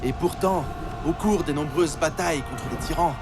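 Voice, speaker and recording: An actor voicing an eager, impulsive young boy recruit in a dubbed animated film, excitable and prone to stammering. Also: mid-range voice, normal speed, very noisy, natural voice. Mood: earnest, bold. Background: music.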